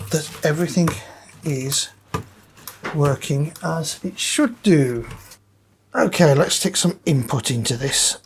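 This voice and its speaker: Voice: mid-range voice, slight background noise. A person giving casual hands-on repair commentary, thinking aloud while working at the bench. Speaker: presenter demonstrating equipment repair.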